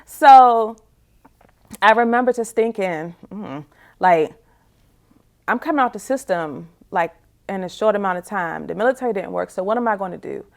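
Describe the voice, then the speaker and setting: A woman's voice: mid-range voice, expressive, normal speed, creaky. A woman in her forties giving a reflective, candid personal testimony as an interview guest, in a conversational way, often saying "you know". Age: 40s.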